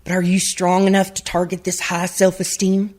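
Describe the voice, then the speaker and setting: Woman acting a low-voiced, earnest FBI agent. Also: completely flat delivery, normal speed, darkish tone, natural voice. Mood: challenging. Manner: probing, confrontational. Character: earnest, challenging young female FBI agent. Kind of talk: radio comedy film parody.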